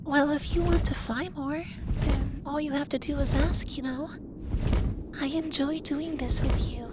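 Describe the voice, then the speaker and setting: Man voicing a soft, high-pitched femboy dragon boyfriend. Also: steady tone, heavy background noise. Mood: teasing, affectionate. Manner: soft, breathy, slow, teasing and commanding. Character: effeminate young male dragon lover, stern, teasing, doting. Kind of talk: intimate ASMR roleplay.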